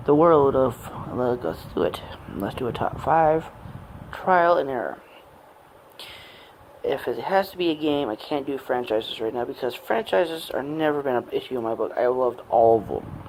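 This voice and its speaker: A man in his late twenties talks through an unscripted personal monologue at a middling pitch. His phrasing is halting and meandering, often breaking off. The amateur recording carries clear noise behind the voice. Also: a little mellow, low-quality recording. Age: late 20s. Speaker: amateur gaming vlogger.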